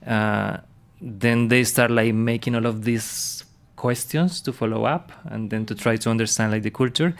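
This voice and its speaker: A man, a university professor chatting casually in English with many pauses and fillers. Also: medium pitch, fairly even intonation, vocal fry. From Colombia. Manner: hesitant, many fillers and restarts.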